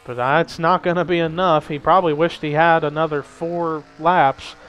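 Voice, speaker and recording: A man's voice: a little high, fairly even intonation, moderate pace, a bit bright, clear, resonant tone. Upbeat young male race caller. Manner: chatty, lifts energy at race calls. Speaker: race commentator. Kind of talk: casual play-by-play of a simulated race. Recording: faint background noise.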